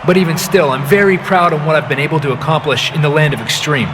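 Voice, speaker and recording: A man plays a smug wrestler in a video game cutscene. He has a fairly high male voice with breezy, sarcastic confidence, and there is clear noise behind it. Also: quick pace, close to an everyday voice. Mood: proud, rallying. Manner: sarcastic, breezy, self-assured. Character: cocky, wisecracking male pro wrestler, smug crowd favourite.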